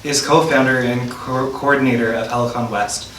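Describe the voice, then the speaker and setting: Male event host, bright tone, reading prepared introduction, echoey room with faint noise. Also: medium pitch, steady tone, moderate pace. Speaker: host introducing a featured poet. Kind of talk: reading a scripted introduction aloud. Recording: roomy, distant mic, faint noise.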